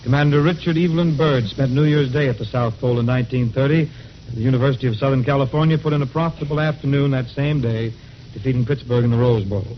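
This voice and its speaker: A man's voice: mid-range voice, fast talker, a little hiss or hum. A man delivering scripted narration in a vintage radio style, with a dull, old-fashioned sound. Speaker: narrator of a radio history montage.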